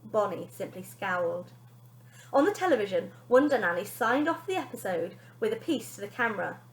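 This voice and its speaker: A woman teacher reading a children's story aloud in an expressive, dramatic storytelling style. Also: medium pitch, normal speed. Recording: echoey room.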